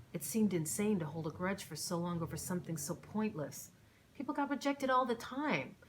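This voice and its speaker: A woman's voice: on the low side, slight background noise, rough recording. A woman author reading scripted first-person narration from her novel as an audiobook.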